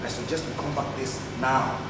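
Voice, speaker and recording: Actor as fiery student leader in an echoing hall. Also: male voice, mid-range voice, fairly even intonation, a little fast, natural voice. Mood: earnest, grave. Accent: Nigerian. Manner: declamatory, oratorical. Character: fiery young male student activist leader, idealistic and defiant. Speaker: stage actor. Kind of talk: projected stage play acting. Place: large theatre hall, recorded from far off. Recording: echoey hall stage play, distant mic, noisy.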